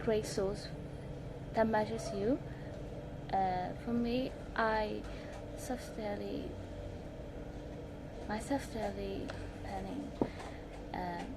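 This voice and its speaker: Teen female vlogger, Burmese accent, slow halting delivery in short phrases, heavy background noise. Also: mid-range voice. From Myanmar. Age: 16, teenager. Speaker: language-learning vlogger. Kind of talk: self-study tips presentation to camera.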